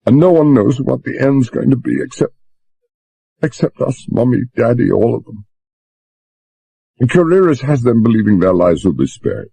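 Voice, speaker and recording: Man voicing a young woman, tearful, frightened, breathless, higher voice, dry sound. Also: sing-song, normal speed, creaky, pauses often, mellow, slightly rough, no echo, exaggerated voice, poor audio. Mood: pleading.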